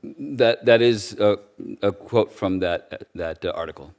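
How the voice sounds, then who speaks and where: A man giving formal testimony as a judicial nominee, answering carefully with a hesitant, halting, stammering delivery. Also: lowish voice, a little mellow.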